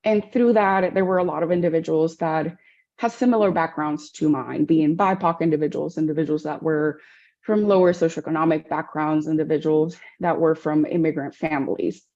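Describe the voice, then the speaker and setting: A woman from the Dominican Republic speaks as a podcast guest, her voice low and mellow. She talks in a reflective, warm way and laughs easily. The recording sounds dull and lo-fi. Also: fairly even intonation, normal speed. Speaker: guest, veterinarian. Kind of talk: interview.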